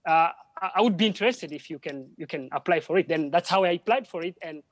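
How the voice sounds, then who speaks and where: A man from DR Congo, a French speaker, speaking as a panel guest in halting English full of restarts. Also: a little high. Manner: halting, with frequent restarts and repeated words. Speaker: panel guest, drone project worker. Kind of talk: live panel conversation.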